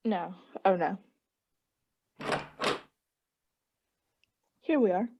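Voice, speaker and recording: A young woman playing a guilty, unhinged caretaker in a radio play, breathy and often whispering, with halting, insistent self-justification. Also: mid-range voice, animated, highs cut off. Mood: nervous politeness. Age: young adult. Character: guilty, unhinged caretaker, anxiously feigning calm politeness. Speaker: student actor.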